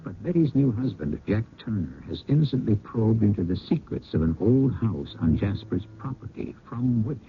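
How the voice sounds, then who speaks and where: A man hosting a radio mystery drama with measured, scripted narration. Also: fairly low pitch, big pitch swings. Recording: muffled phone-like radio recording, faint noise.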